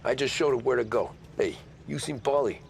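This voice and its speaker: An actor playing a modest young male mobster driver who talks in a low-key way. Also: brisk, close to an everyday voice. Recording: game audio with clear background noise.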